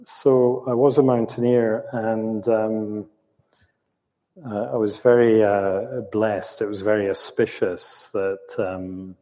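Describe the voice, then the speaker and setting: An older man recounting his own life in an interview, speaking reflectively in a halting, thoughtful way with long hesitations. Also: fairly low pitch, steady tone, mellow. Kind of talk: reflective storytelling in conversation. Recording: roomy hall with long reverb.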